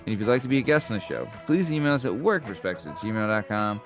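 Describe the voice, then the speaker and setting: A man's voice: lowish voice, very animated, fast talker, over music. A young man hosting a podcast, bantering casually with crude jokes. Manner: laid-back, joking, crude banter. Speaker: podcast host. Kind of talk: casual chat.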